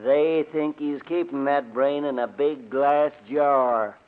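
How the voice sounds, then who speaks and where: Through a tinny, narrow old radio recording comes a folksy country sheriff with a rural American accent. His voice sits fairly high, and he drawls in plain-spoken, gossipy small-town fashion. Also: fairly even intonation, slow, clean tone. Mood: grave, gossiping. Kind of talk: 1950s radio drama acting.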